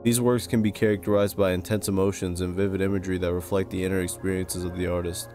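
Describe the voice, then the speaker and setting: A man with a low-pitched voice narrates a scripted video essay. His delivery is measured and serious. Music plays faintly behind him. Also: flat delivery, darkish tone. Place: close-recorded voice-over with music behind.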